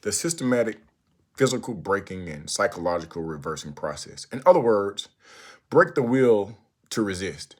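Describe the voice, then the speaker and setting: Guy with a lowish voice reciting an old speech, deliberate and emphatic, lots of pauses. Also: very animated. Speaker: narrator reading a historical speech aloud. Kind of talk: recited speech read aloud.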